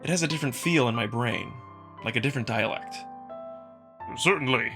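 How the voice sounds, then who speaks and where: A man's voice: medium pitch, quick pace, music in the background. A man reading first-person visual novel narration as a scripted storyteller. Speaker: narrator and voice performer.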